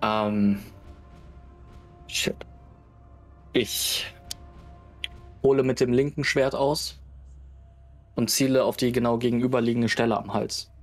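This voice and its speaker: A male tabletop player talks casually at an unhurried, slow pace. He thinks aloud with some hesitation, in a medium-pitched voice over quiet music. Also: fairly even intonation. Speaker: player in a tabletop role-playing game. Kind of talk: casual game talk. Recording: music under the voice. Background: background music.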